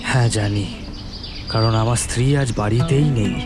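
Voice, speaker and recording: An older, well-to-do gentleman acted by a man in an audio drama. His medium-pitched voice is deliberate, courteous on the surface and disdainful underneath. Music plays clearly behind him. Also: lightly acted. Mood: defensive.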